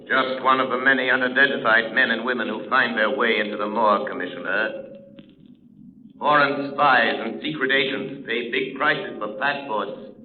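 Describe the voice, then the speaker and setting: An actor with an American accent playing a grave, assured, mysterious crime-fighting vigilante in 1930s radio drama, measured and dramatic. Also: male voice, medium pitch, steady tone, close to an everyday voice. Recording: muffled, tinny 1930s radio recording.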